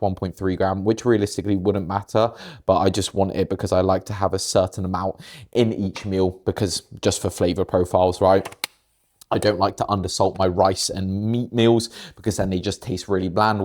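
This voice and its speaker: A man's voice: on the low side, darkish tone. A young man from Poland with a British English accent, chatting casually through a home kitchen vlog in a rambling, self-deprecating way. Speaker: fitness vlogger.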